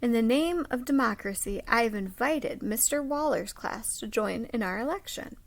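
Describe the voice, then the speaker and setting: Woman as an encouraging, high-voiced schoolteacher. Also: lively intonation, normal speed. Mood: announcing. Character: encouraging, patient female elementary school teacher.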